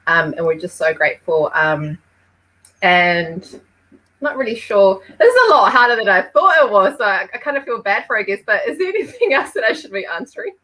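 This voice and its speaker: Bright-voiced Peruvian-born woman chatting. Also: medium pitch, big pitch swings, fairly quick, low-quality recording. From Peru. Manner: chatty, many fillers, laughs easily. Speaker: podcast guest. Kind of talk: casual podcast chat.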